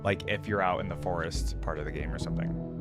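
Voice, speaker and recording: A man's voice: low voice, fairly even intonation, quick pace. A man giving casual, informal reaction commentary, musing aloud as he goes. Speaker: reaction video host. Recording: music bed behind the voice. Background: game music.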